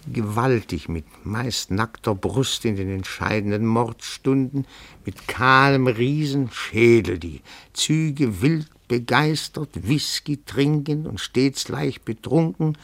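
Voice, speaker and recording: An actor playing a timid, pedantic petty-bourgeois amateur detective in a radio play, speaking formally and deferentially with meticulous phrasing. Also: male voice, mid-range voice, vocal fry, dry recording. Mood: triumphant, insistent.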